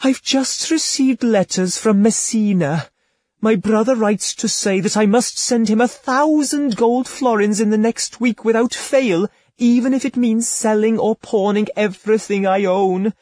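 A cunning young woman from an old storybook tale, pleading in a high, theatrical flutter, as a male narrator puts her on. Her voice is breathy and husky, recorded close and dry with no room around it. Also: measured pace, a little mellow, no room sound. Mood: false distress.

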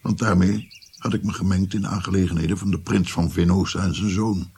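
An actor playing a weary Renaissance court physician, telling his past in a measured, sombre, confiding way in a radio drama. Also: sits low, steady tone, a little mellow, a little hiss or hum. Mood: resigned. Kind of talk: radio drama narration, reflective storytelling.

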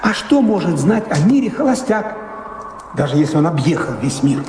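Fussy, high-pitched manservant, swooping delivery. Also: male voice, big pitch swings, moderate pace, a little mellow. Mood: indignant. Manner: querulous, emphatic, talkative. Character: fussy, indignant manservant, servile to his master. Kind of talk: theatrical radio drama acting. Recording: reverberant hall, faint background noise.

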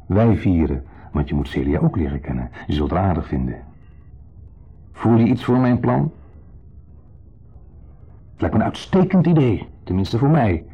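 An actor playing a shabby, self-pitying ex-husband who talks with deliberate, quiet menace in a radio drama. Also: male voice, low voice, lively intonation, slightly rough, exaggerated voice. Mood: smooth, insinuating. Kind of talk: 1970s radio drama acting. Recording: dull 1970s radio play recording.

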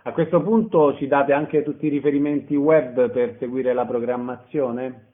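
A man's voice: medium pitch, fairly even intonation, normal speed. Male radio host, telephone-like sound. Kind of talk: radio interview hosting.

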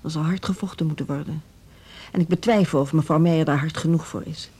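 A lowish, mellow middle-aged female voice with a Netherlands Dutch accent. She is a reserved school director who speaks in a measured, formal and sceptical way. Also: fairly even intonation, slightly rough. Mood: sceptical, serious. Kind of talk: radio drama acting.

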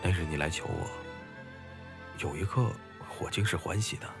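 A man's voice: sits low, moderate pace, loud music behind the voice. An actor playing a young, upright scholar turned fisherman, reserved and formal, in an audio drama. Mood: wistful, pensive.

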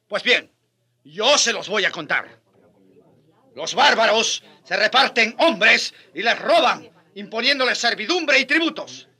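A man's voice: high-pitched, big pitch swings, measured pace, bright, forceful tone, slightly rough, full character voice. A man playing a passionate, sardonic Spanish friar who argues with challenging questions in a radio drama. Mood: bitter, accusing. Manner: impassioned, challenging, mocking rhetorical questions.

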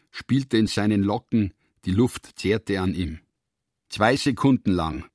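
A man reads literary prose as the narrator of a radio drama. His voice is on the low side and very dry, with deliberate, measured phrasing. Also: no room sound.

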